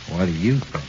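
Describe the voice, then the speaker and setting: An actor playing the ghost of an executed gangster in 1940s radio horror, talking tough, streetwise slang with cool menace. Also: male voice, low voice, dark tone, exaggerated voice. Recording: muffled hissy 1940s radio recording.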